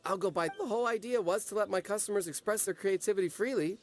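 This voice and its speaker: A man's voice: high voice, fast, close to an everyday voice. An actor playing an eager, earnest young man who runs a drink stall in a video game.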